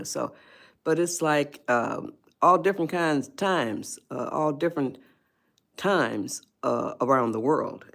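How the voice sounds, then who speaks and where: A woman's voice: rumbling low, vocal fry. A woman of eighty-one, hosting a casual live stream, chatty and rambling, often laughing and greeting viewers. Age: 80s. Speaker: livestream host.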